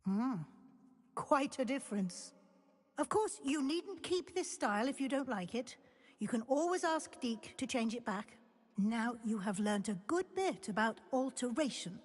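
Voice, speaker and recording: An actress playing a composed, encouraging professor at a magic school in a video game, speaking in a measured, instructive way. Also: female voice, on the high side, animated. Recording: long hall-like reverb.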